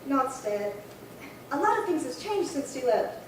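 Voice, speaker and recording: A woman's voice: high-pitched, big pitch swings, a little slow. A woman playing an anxious but defiant frontier wife, urgent and plainspoken, in old-time radio drama style. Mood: anxious, pleading. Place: little theatre stage, distant mic. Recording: very echoey hall, far from mic, faint noise.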